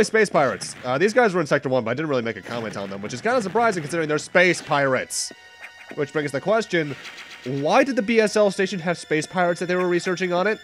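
A young man with a stuffy nose talks through a game as he plays, chatty and casual. His voice sits a little high and swings widely in pitch. Loud game music plays behind him. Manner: chatty, self-correcting, occasional laughs. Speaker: let's play host. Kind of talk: casual gameplay commentary.

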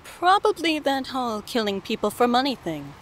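A sardonic female assassin, acted by a woman, has a fairly high, animated voice. Her sarcasm comes over faint noise in an audio drama. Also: moderate pace.

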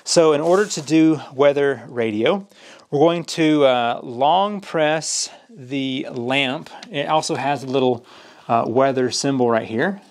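A man with a mid-pitched voice talks through a product demonstration. He explains each step plainly in a casual, practical way, as a reviewer showing how something works. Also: slow pace. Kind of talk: casual hands-on product walkthrough. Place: indoors at a work table.

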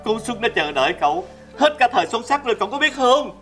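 A man playing an excitable, kindly old village grandfather in a fairy-tale drama, with a southern Vietnamese accent and a theatrical, exclaiming delivery. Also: very high voice, fast, exaggerated voice. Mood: excited, joyful. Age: older. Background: background music.

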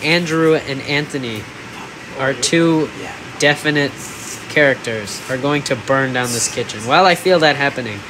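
A man's voice: mid-range voice, moderate pace, noisy background. Young man playing a grandiose sensei chef. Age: young adult. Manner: mock-solemn, grandiose teacher voice. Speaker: pretend head chef in a school cooking video. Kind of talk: playful role-play banter. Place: home kitchen, indoors. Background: loud background music.

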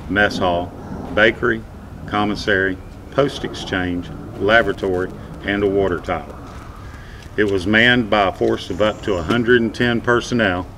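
An older man presenting scripted history to camera, speaking in measured short phrases outdoors. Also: on the low side, fairly bright tone, a little hiss or hum. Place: outdoors among old concrete fort ruins.

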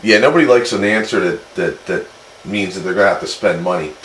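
A man's voice: low voice, fairly quick. A man having a casual technical chat as a radio operator. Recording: roomy echo with clear background noise.

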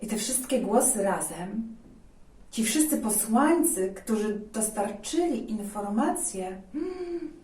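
A woman telling a children's tale conversationally, in an expressive and reflective way. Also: a little high, slow, reverberant, distant mic. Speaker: storyteller in a children's tale series.

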